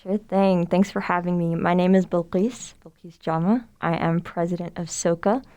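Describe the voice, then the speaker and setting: A woman's voice: on the low side, moderate pace, clean tone. A young woman from Somalia, a student club president, talking casually and at length as a podcast guest. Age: young adult. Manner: relaxed, friendly, explains at length with fillers. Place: room with others.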